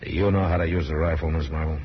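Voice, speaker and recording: An actor playing a calm, grave frontier lawman who questions in terse, measured phrases, in 1950s radio western style. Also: a bass voice. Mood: reserved, probing. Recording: muffled, noisy vintage radio recording.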